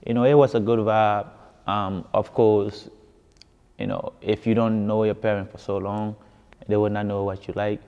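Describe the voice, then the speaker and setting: A man's voice: lowish voice, little pitch movement, moderate pace, smooth. A man from Ghana with a Ghanaian accent telling his story in a casual interview, often repeating words for emphasis. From West Africa, grew up in Ghana. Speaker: musician being interviewed. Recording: echoey hall, dull muffled sound.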